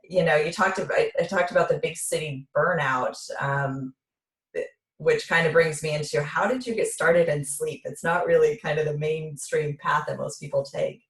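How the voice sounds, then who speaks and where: A woman hosts an interview in a chatty, enthusiastic way, with plenty of fillers. Her voice is notably low for a woman. She sounds set back from the microphone in a roomy, echoing space. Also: fairly even intonation, normal speed. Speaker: host. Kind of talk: interview conversation. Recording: roomy, distant from the mic.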